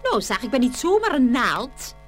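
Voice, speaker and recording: A woman's voice: sits high, moderate pace, faint music behind. A woman playing a vain, easily offended darning needle in a children's fairy-tale radio play, with an affected, haughty, fussy manner. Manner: affected, haughty, fussy, full of oohs and ohs. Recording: hum and steady noise.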